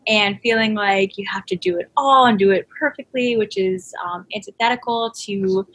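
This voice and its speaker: A woman's voice: medium pitch, moderate pace, fairly bright tone, husky, poor audio. A woman hosting an interview podcast, giving an upbeat introduction and asking long questions broken by "um" and "uh". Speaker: podcast host.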